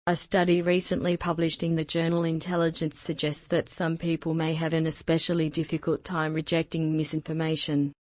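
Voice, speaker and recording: A woman narrating scripted educational passages in a measured, careful way. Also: sits low, completely flat delivery, no room sound. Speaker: narrator of read-aloud practice passages.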